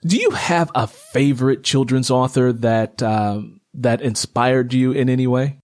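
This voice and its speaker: A man's voice: medium pitch, unhurried, a little mellow, no room sound. Casual male interviewer, dry close sound. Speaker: interview host, writer.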